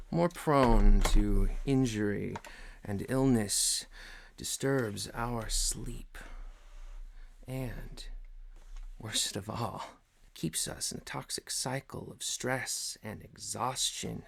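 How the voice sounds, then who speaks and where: A man playing a stern, pompous office boss in an ASMR roleplay, speaking formally and deliberately with theatrical pauses. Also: fairly low pitch, vocal fry, slightly rough, full character voice. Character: stern, pompous male office boss, mock-solemn, paternal. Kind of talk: scripted ASMR roleplay monologue.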